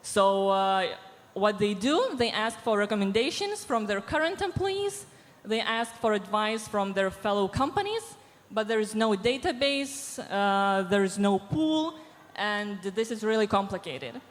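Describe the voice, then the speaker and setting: A woman's voice: mid-range voice, very animated. Woman speaking on a hall stage. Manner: measured, many filler pauses. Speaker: panelist from a diversity organization. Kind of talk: panel discussion answers. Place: large event hall stage. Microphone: handheld microphone. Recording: reverberant hall sound.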